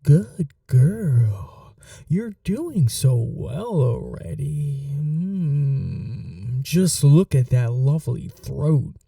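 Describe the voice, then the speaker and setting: Man acting very slow, dark-voiced possessive vampire. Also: mid-range voice, sing-song, creaky, no room sound, clean studio sound, distant mic, heavily acted. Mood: predatory, seductive. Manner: slow, hushed, dominant, formal endearments, often whispering. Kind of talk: intimate ASMR roleplay, scripted monologue.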